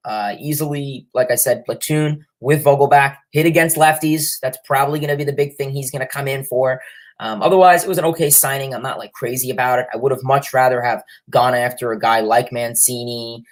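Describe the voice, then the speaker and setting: A man's voice: medium pitch, brisk. Rambling young man with a cold. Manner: rambling, heavy fillers and restarts. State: has a cold, sniffling. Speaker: livestream host. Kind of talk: casual sports chat reading viewer comments.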